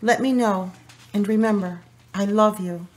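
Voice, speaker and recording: A woman's voice: medium pitch, a little slow, lightly acted. A woman playing an affectionate, sympathetic friend on a phone call in a radio drama. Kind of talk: amateur radio drama acting.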